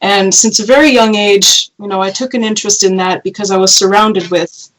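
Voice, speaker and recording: Brief: young Canadian woman, podcast guest, mid-range voice, easygoing storyteller who circles back and fills pauses. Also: medium pitch, fairly even intonation, fairly bright tone, poor audio. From Canada, northern Quebec. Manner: thoughtful, with many fillers and restarts. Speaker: guest paleoartist.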